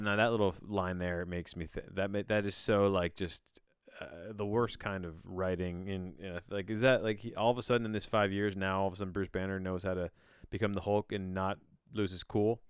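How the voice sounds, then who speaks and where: Male podcast host, lowish smooth voice, close dry sound, off-the-cuff and sardonic read-and-react delivery. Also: no room sound. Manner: rambling, incredulous, sardonic asides; casual swearing. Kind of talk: casual solo commentary while reading a plot synopsis aloud.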